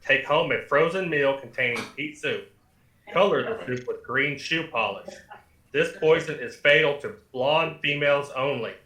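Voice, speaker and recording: Radio play computer, played by a man: impassive, formal announcer delivery, crisp bright tone, roomy echo. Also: medium pitch, lightly acted. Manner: formal, matter-of-fact, announcer-like. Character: impassive talking computer service giving deadly advice. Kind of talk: old-time radio comedy acting, formal announcements.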